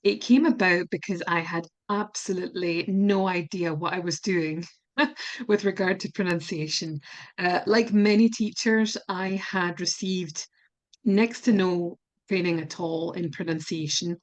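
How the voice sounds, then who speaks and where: A woman's voice: lowish voice, steady tone, a little slow. A Scottish woman answering interview questions as a pronunciation specialist, candid and earnest, laughing at herself now and then, on an online call. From Scotland.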